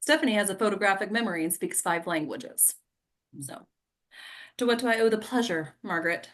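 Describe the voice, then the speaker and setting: Woman as blunt, unflappable presidential aide, medium-pitched. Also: lively intonation, a little fast, a bit bright, lightly acted. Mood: dry, controlled. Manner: dry, firm, controlled. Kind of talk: comedy play table read.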